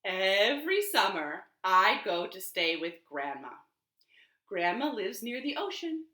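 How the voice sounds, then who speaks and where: A woman hosting storytime for young children, speaking in an animated, clearly paced way as she shares rhymes and picture books from her living room. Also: mid-range voice, measured pace. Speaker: children's storytime host at a library. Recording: roomy, echoing home living room.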